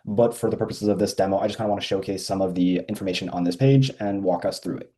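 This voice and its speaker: A man walking through a software feature in a casual screen-share demo, with frequent ums and uhs. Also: low voice, steady tone, quick pace.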